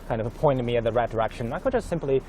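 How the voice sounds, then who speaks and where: A man's voice: mid-range voice, lively intonation, very fast, mellow, highs cut off, a little hiss or hum. A man from northern China, a native Chinese speaker, chatting in English as host while cycling outdoors, with many fillers and restarts. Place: outdoors on a city seaside road.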